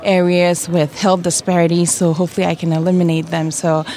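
A woman's voice: fairly low pitch, flat delivery, fairly quick, warm tone. A young premed woman, earnestly answering a vox pop interview question. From Ghana. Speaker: premed student.

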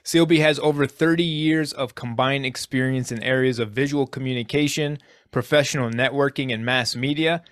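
A man's voice: mid-range voice, steady tone, fairly quick, a bit bright, no echo, studio quality. A man hosting a podcast interview, speaking earnestly and appreciatively, with frequent restarts. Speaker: podcast host.

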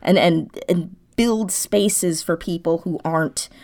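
A woman's voice: lowish voice, takes it slowly, darkish tone. A woman being interviewed in a casual, reflective way, thoughtful and often correcting herself as she searches for words. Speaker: audio drama creator being interviewed.

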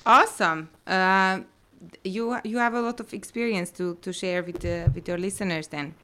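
A woman's voice: medium pitch, moderate pace, pushed and bright. A woman hosting an interview podcast, reading friendly introductions and asking questions with slight hesitation. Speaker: podcast host.

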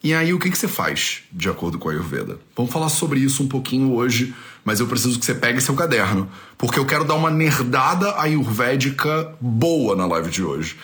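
A man's voice: medium pitch, sing-song, brisk, fairly bright tone. A man hosting a live stream as an Ayurveda teacher, talking casually, often repeating himself and adding tag questions. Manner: chatty, leans on tag questions and repeats phrases.

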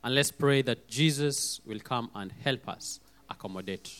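A man with a hoarse voice preaching a sermon, calling out for amens and acting out little quoted voices as he goes. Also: medium pitch, normal speed. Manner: lively, calls for amens, acts out quoted voices.

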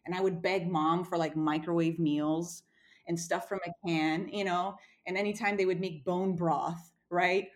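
A woman's voice: on the low side, steady tone, a little slow. A woman from Romania, a cookbook author, telling a personal story in a casual interview, with many fillers and restarts.

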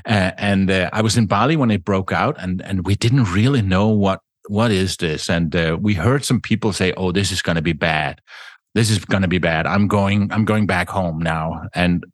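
A man talking casually about travel, halting and often repeating his words. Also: deep voice, dry recording, studio quality. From Denmark.

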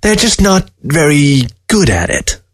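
Man putting on a high-pitched sardonic fox. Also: big pitch swings, unhurried, no echo, studio quality. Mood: mocking. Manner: teasing, exasperated, folksy. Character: sardonic, taunting dead fox, talking to its taxidermist.

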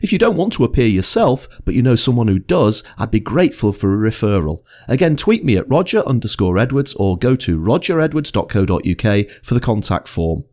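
A man's voice: mid-range voice, sing-song, brisk, hardly any pauses, darkish tone. A man hosting a podcast, reading an upbeat promotional intro and then chatting with his guest in her office. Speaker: podcast host. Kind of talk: scripted intro then interview chat.

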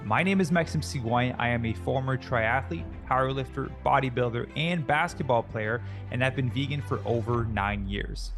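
A young adult man hosting a podcast, talking in an upbeat, quick way with frequent restarts. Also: medium pitch, over music. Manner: upbeat, fast, many restarts. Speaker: podcast host. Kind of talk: podcast intro and interview.

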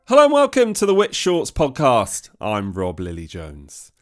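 A British man presents a podcast from a script. His pitch is mid-range and moves around a great deal, giving his welcoming, chatty delivery plenty of lift. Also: huge pitch swings, normal speed. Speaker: podcast host.

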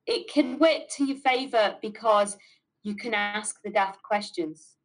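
A woman's voice: mid-range voice, measured pace, rough recording. A middle-aged woman with a Mancunian accent, a business owner answering interview questions candidly and stressing points by repeating words. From north of England.

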